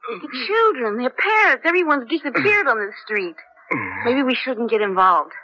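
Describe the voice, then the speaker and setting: Anxious, bright-voiced young woman traveler. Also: high-pitched, animated, moderate pace, highs cut off, exaggerated voice. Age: young adult. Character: compassionate, uneasy young female student traveler. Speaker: actress in radio drama. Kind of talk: 1970s radio drama acting.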